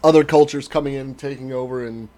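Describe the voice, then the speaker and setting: A man's voice: medium pitch, steady tone, fast, mellow. A man hosting a casual podcast chat, joking sarcastically and swearing freely. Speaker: podcast host.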